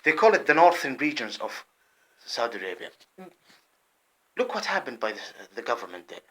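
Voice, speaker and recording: A man delivering an impassioned monologue to camera, heated and repetitive, swinging between loud outbursts and low muttering. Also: big pitch swings, normal speed.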